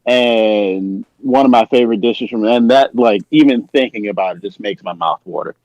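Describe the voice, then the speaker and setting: A man's voice: fairly low pitch, moderate pace, darkish tone, dry recording. An American man chatting casually on a podcast, rambling and searching for words, in a slightly muffled, dry recording. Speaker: podcast participant. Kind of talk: casual chat.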